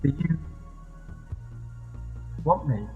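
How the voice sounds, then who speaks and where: Reverberant, haunting actor as terse former lover. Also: male voice, a little high, animated, drawn-out words, faint music behind. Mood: hesitant, probing. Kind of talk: audio drama dialogue. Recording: long hall reverb, dull muffled sound.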